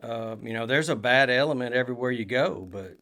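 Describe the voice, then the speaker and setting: A man's voice: mid-range voice, a little fast, sharp tone. A man, co-hosting a podcast in casual chat, rambling with many fillers and restarts. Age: 20s. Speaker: podcast co-host.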